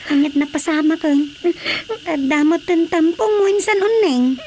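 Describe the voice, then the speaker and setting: A woman's voice: extremely high pitch, lively intonation, unhurried, darkish tone, a little hiss or hum. A woman playing an earnest, sympathetic and indignant cousin in a radio drama. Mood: concerned. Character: concerned, indignant female cousin, sympathetic confidante.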